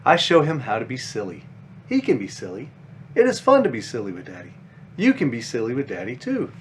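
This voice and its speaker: A man's voice: mid-range voice, wildly swooping pitch, brisk, slight background noise. A man voicing a caring five-year-old big sister bunny from a children's picture book, explaining things simply and earnestly.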